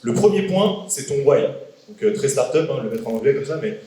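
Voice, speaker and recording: A man's voice: mid-range voice, lively intonation, fairly quick, warm tone. A young man in his late twenties talking to a crowd in a big hall, casual and joking, tossing questions to the room. His voice sits mid-range and comes from well away from the mic. The room rings with heavy echo. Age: 20s. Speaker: guest speaker. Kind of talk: live talk to an audience. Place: large event hall with an audience. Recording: echoey hall from far off.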